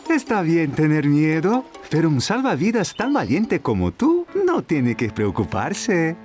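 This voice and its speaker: A friendly young lifeguard in a kids' cartoon. The delivery is encouraging and swings widely in pitch, with soft music underneath. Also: female voice, wildly swooping pitch, a little slow, exaggerated voice. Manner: bright-spirited, encouraging. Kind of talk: children's cartoon dub acting.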